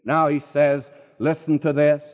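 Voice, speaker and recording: A man's voice: a little high, little pitch movement, a little slow. A man preaching a sermon dramatically, his voice rising when he quotes scripture, recorded long ago. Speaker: pastor. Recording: echoey hall, tinny old recording.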